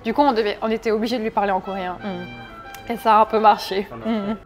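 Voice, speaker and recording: A woman's voice: mid-range voice, steady tone, fast talker, faint music behind. A woman in her twenties from France, chatting candidly in an interview and often restarting her sentences. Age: 20s. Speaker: interview guest. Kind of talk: casual interview talk.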